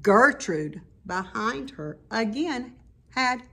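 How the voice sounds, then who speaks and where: A woman's voice: on the high side, expressive, slow pace, sharp tone. A woman reading a rhyming children's story aloud with dramatic, emphatic expression. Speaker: storybook reader.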